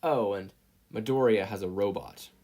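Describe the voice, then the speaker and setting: A story narrator reading scripted fiction in an expressive storytelling manner. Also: low voice, moderate pace. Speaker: podfic reader and narrator.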